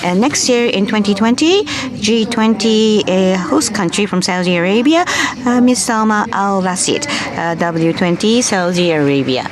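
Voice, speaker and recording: A woman moderating a panel session and then interpreting a speaker, halting with frequent fillers and restarts. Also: mid-range voice, a crowd in the background. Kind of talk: conference moderation and live interpretation. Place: conference hall.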